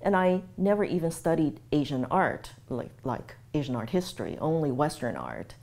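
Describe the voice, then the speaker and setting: A woman's voice: low-pitched, very animated, normal speed, a little mellow. A woman giving a reflective documentary interview about herself, speaking in a thoughtful, articulate way. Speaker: artist.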